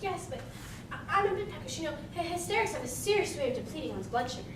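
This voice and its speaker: A woman playing a timid, neurotic male accountant in an amateur stage comedy, fussy and highly strung. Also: expressive, quick pace. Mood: calming, meek. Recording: very echoey distant recording with clear noise.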